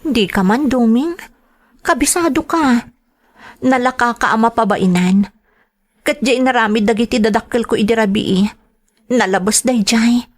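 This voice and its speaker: A woman's voice: on the high side, normal speed, long pauses, natural voice. A woman with an Ilocano accent acting an earnest young woman in love in a radio drama. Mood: hurt.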